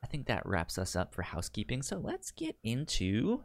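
A man hosting a podcast in casual review chat, speaking haltingly with stammered, repeated syllables. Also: mid-range voice, wildly swooping pitch, vocal fry, loud music behind the voice. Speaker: podcast host.

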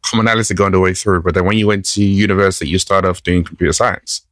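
Male host, deep-ish voice, curious interviewer, dry sound. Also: fairly even intonation, quick pace, a bit bright, no room sound. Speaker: podcast host. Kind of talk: casual interview questions.

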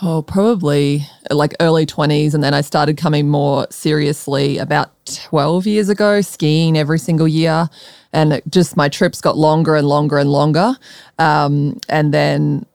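Clear-voiced Australian woman chatting. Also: low voice, steady tone, unhurried, darkish tone, no echo, clean studio sound. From Melbourne, Australia. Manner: laughs easily, informal. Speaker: podcast guest, startup founder. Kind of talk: conversational interview.

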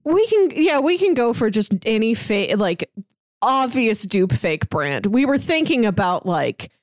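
A woman's voice: fairly high pitch, very animated, measured pace, no echo, clean studio sound. A woman co-hosting a podcast in casual, unscripted conversation. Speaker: podcast co-host.

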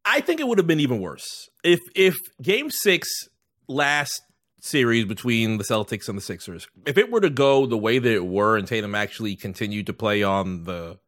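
A man's voice: on the low side, sing-song, normal speed, fairly bright tone. A man co-hosting a casual sports podcast, talking emphatically with playful exaggeration and repeating phrases for effect. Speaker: sports and culture podcast co-host.

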